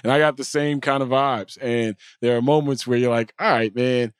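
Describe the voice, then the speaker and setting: Man, American accent, mid-range pitch, dry studio sound, animated storyteller, slangy, joking, casual host chat. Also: a little fast, clear, resonant tone, no echo. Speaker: talk show host.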